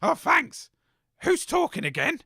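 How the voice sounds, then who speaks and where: A dotty, soft-hearted cafe cook for an audio comedy. The voice is high and gravelly, swooping up and down. It rambles along naively. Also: male voice, wildly swooping pitch, unhurried, no room sound, clean studio sound, heavily acted. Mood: earnest, befuddled. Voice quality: raspy, creaky. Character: eccentric, scatterbrained, soft-hearted post-apocalyptic cafe chef. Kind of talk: comedy audio drama acting.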